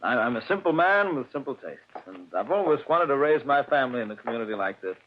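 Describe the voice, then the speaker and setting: A man's voice: mid-range voice, big pitch swings, fast, close to an everyday voice. A man as a mild, stammering accountant. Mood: courteous. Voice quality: soft-spoken, slightly stammering. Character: mild-mannered male accountant, quietly sinister. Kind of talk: 1940s radio acting. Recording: muffled old radio recording, hiss.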